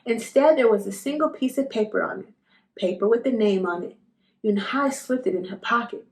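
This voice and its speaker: A woman reading a children's picture book aloud as an expressive narrator. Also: a little high, normal speed.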